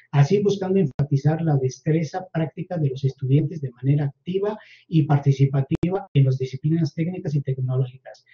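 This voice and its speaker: A man presents formally at an online academic conference, with long, wordy sentences that sometimes halt. His voice is fairly high and very soft-edged, heard through dull, muffled call audio. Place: virtual conference video call.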